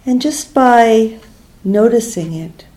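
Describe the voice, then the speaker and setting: A woman leading a guided meditation as a teacher, speaking gently and unhurriedly in short phrases with pauses between them. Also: a little high, lively intonation.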